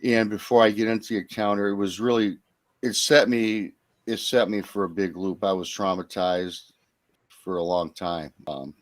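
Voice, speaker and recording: Deep-voiced middle-aged man, halting and rambling. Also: steady tone, no room sound. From Michigan, United States. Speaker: podcast guest, Bigfoot eyewitness. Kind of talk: conversational personal account.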